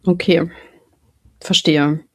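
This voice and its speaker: Female podcast host, slight lisp, husky edge, inquisitive. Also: deep voice, fairly even intonation, takes it slowly, a bit bright. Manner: curious, asks follow-up questions. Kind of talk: casual podcast conversation.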